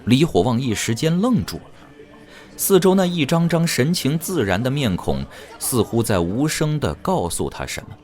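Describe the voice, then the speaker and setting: A man's voice: mid-range voice, big pitch swings, normal speed, darkish tone. A man narrating a fantasy audio drama in a dramatic, scripted storytelling style. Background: music.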